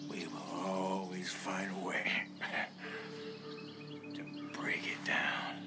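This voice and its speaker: An actor playing a dying middle-aged American militant villain in a game, bitter and fatalistic, with a breathy, whispery voice. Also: male voice, on the high side. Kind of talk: video game cutscene monologue. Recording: loud music behind the voice.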